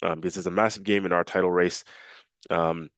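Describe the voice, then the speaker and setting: A man's voice: deep voice, fairly even intonation, a little fast, fairly bright tone, dry recording. A man with a nasal, congested voice from a cold, casually previewing a football match for his fan channel with lots of fillers. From Canada. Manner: casual, filler-heavy, repeats himself.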